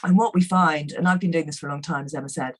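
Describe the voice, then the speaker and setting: Hoarse, low-voiced woman. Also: fairly even intonation, fast talker. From England. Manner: enthusiastic, chatty, frequent fillers. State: croaky, hoarse voice. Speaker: guest nutrition expert. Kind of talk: webinar talk.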